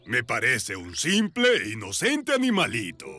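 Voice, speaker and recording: An actor voicing an excitable, theatrical dinosaur pal with big opinions in a children's cartoon, speaking with exaggerated delivery. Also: mid-range voice, moderate pace. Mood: critical, dramatic. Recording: music behind the voice.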